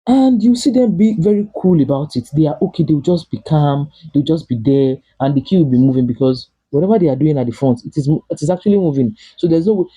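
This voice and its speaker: A man's voice: on the high side, fast talker, few pauses, warm tone, husky, poor audio. A man from Nigeria with a Nigerian English accent, chatting casually and emphatically in a vlog, often repeating and restarting his phrases. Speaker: vlogger living abroad.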